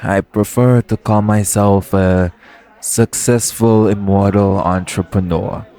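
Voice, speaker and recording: Man playing a cocky low-voiced vampire lord. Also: steady tone, slow, mellow, slight background noise. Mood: smug. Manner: drawling, casual, sardonic, menacing. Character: cocky, possessive immortal vampire lord, sardonic husband. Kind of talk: audio roleplay acting.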